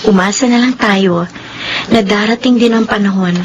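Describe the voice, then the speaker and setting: Actress as kind Filipino young wife, mid-range voice, reassuring, radio play, clear background noise. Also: female voice, medium pitch, moderate pace, muffled recording, close to an everyday voice. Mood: tender. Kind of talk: radio drama acting. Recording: noisy ambience behind the voice.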